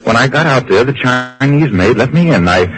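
An actor playing an earnest, worried captive in a 1950s radio drama, with a hesitant delivery. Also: male voice, medium pitch, animated, moderate pace, a bit bright, natural voice. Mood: anxious, explaining. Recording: noisy old radio recording.

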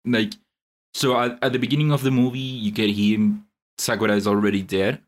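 Young man, podcast co-host, somewhat low voice, earnest, explains at length. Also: flat delivery, brisk. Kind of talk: casual review chat.

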